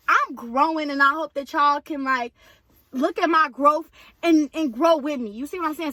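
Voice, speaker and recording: A woman vlogger, slightly hoarse, chatting casually and directly to her viewers. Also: high voice, pushed and bright, dry recording.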